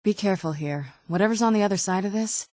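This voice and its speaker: A woman's voice: medium pitch, fast talker, a little mellow, no echo, lightly acted. Casting: actress as wry, guarded young vampire noblewoman for a fantasy game; mid-range voice, independent streak, conversational, very dry studio sound. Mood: cautious, curious. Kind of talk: video game character dialogue.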